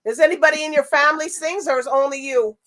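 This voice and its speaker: A woman's voice: high voice, fast, a bit bright, slight background noise. A woman hosting a casual interview, talking in a playful, teasing way with frequent laughter. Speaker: show host interviewing a singer. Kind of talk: casual chat.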